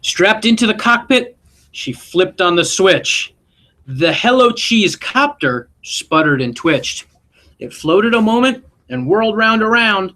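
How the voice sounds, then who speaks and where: Male principal, slightly high voice, expressive picture-book read-aloud with friendly questions to children. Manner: expressive, engaging listeners with questions. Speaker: school principal reading aloud.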